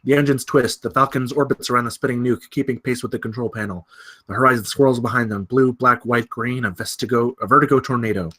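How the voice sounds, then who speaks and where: Bright, forceful male screenplay narrator. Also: mid-range voice, steady tone, fast talker, husky. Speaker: stage-direction narrator. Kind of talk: scripted narration at a film table read.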